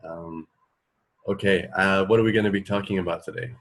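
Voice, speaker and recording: A man's voice: deep voice, steady tone, brisk. A man hosting a radio show from home, chatting casually in a loose, hesitant, rambling way. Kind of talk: casual chat.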